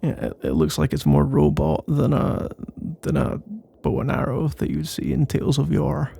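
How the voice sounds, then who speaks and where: A man's voice: a little high, very animated, normal speed, creaky, mellow, slightly rough. A man doing a casual, rambling ASMR boyfriend roleplay in a playful, mock-boastful and meandering way.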